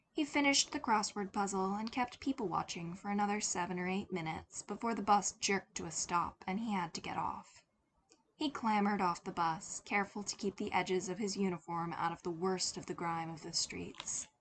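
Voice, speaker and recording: A woman giving a scripted narration of a fiction story as a podfic reader. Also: medium pitch. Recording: faint background noise.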